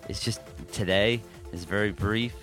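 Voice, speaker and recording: A man's voice: fairly low pitch, normal speed, fairly bright tone, faint music behind. An American man co-hosting a podcast, talking casually in an informal, musing way. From United States. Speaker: podcast co-host. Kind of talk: casual conversational podcast talk.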